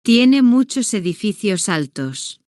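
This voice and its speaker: A woman reading a simple first-person story for language beginners, in slow, deliberate, evenly paced short sentences. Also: mid-range voice, big pitch swings, a little mellow, dry recording, studio quality. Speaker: language-learning narrator.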